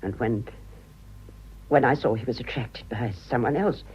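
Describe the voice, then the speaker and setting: An actress playing a vain, self-pitying ageing romantic in a British radio drama, confiding dramatically and halting for effect. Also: female voice, rumbling low, a little mellow, husky, muffled recording, exaggerated voice. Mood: confiding, pained. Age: older.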